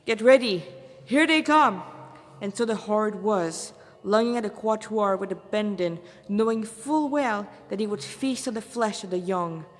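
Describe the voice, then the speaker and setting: A woman's voice: mid-range voice, normal speed, hardly any pauses, darkish tone. A woman reading her own fan fiction aloud as narrator, with dramatic phrasing and the odd stumble. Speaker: fan fiction author and reader. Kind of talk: read-aloud story narration. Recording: reverberant echoey room.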